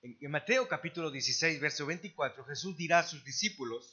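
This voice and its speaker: A man's voice: on the high side, lively intonation, quick pace, sharp tone, breathy edge, lo-fi sound. A man interpreting a sermon live, phrase by phrase, with occasional restarts. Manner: translating phrase by phrase, with some restarts. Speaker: church interpreter. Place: church service.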